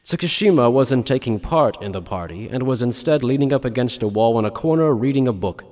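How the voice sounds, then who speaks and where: A man's voice: mid-range voice, animated, a little fast, darkish tone, big-room sound, close to an everyday voice. A man reading scripted narration for an anime fan dub as its storyteller.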